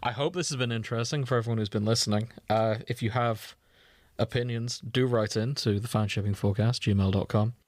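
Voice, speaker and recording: A man's voice: lowish voice, fairly even intonation, fairly quick, darkish tone, no room sound. Guy podcast cohost chatting casually, bit sweary and teasing, close dry sound. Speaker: podcast co-host.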